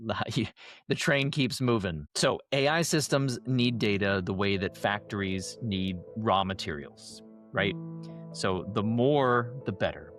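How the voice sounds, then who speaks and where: A man hosting a podcast, delivering a scripted monologue while sick and losing his voice, measured and emphatic. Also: on the low side, fairly bright tone, soft background music. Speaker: podcast host.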